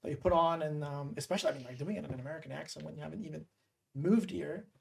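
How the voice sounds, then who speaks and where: A woman from Montreal hosts a casual podcast chat. Her voice sits low with a gravelly fry running through it, and she talks in an animated way, scattering fillers as she goes. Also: very fast, slight background noise. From Montreal, Canada. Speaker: podcast host. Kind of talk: casual interview.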